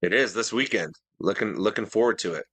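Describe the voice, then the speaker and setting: A man's voice: lowish voice, brisk, a bit bright, breathy edge, dry recording. A man co-hosting a podcast in casual, bantering conversation. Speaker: podcast co-host.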